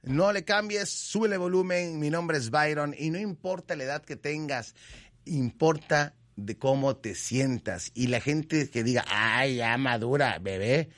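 Joking Mexican radio host, dry close mic. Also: male voice, a little high, a little slow, no echo. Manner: joking, teasing, lively banter. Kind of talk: reading listener messages on air. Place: radio studio.

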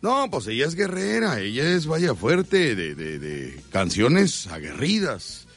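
Teasing Mexican radio host. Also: male voice, mid-range voice, huge pitch swings, slow, a bit bright, husky, soft background music. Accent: Mexican Spanish. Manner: teasing and jokey, often laughing mid-sentence. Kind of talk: joking live radio banter. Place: radio studio.

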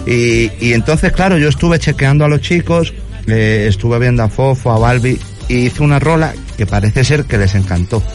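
A man from Spain with a Spanish accent, a singer guest in a casual radio interview, relaxed and friendly. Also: mid-range voice, moderate pace. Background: faint music.

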